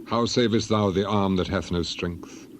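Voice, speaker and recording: A man acts out a devout, suffering ancient man pleading his case in a Bible drama. The voice is low and the delivery grave and declamatory, with archaic phrasing. Noticeable ambient noise runs behind it. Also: a little slow. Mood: solemn rebuke. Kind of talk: dramatized scripture reading.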